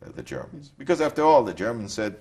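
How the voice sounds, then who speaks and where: An older man from Poland, giving a reflective, halting recorded testimony in an interview. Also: mid-range voice, animated, a little mellow.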